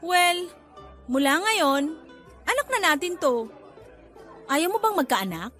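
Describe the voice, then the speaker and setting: Very high-voiced cheeky pregnant woman. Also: big pitch swings, slow, pushed and bright, faint music behind, full character voice. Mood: pushy, teasing. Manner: breezy, cheeky, giggly delivery. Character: flippant, manipulative pregnant woman, teasing her lover. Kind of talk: radio drama acting.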